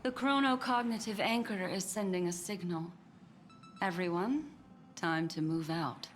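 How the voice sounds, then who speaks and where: An actress playing a calm, serious woman strategist in a video game, formal and measured in her speech. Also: on the low side, close to an everyday voice. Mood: composed, grave. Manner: formal, measured, matter-of-fact. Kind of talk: game character acting. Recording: echoey hall reverb, music behind.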